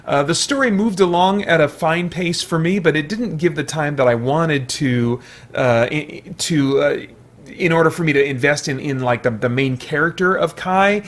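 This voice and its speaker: A man giving a casual, unscripted movie review, thinking out loud in a rambling, hesitant way with repeated false starts. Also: a little high, moderate pace. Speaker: movie reviewer, video host.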